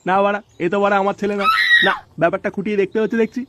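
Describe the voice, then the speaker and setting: A man's voice: high-pitched, flat delivery, rapid-fire, a bit bright, raised voice, slight background noise. A man voicing a hot-tempered, foul-mouthed father in a comedy cartoon, scolding crudely. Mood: exasperated. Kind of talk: exaggerated Bengali comedy cartoon dubbing.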